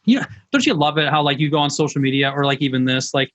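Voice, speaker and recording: A man's voice: fairly high pitch, fast, a bit bright. A middle-aged man, a business coach, presenting online in an informal, folksy, conversational way.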